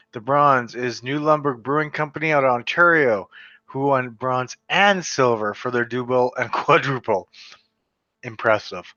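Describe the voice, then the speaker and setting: A man's voice: medium pitch, sharp tone, dry recording. A man from western Canada casually running through beer award winners, chatty and stumbling over foreign brand names. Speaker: beer reviewer. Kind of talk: casual solo video commentary reading award results. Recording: slightly dull lo-fi sound, dry.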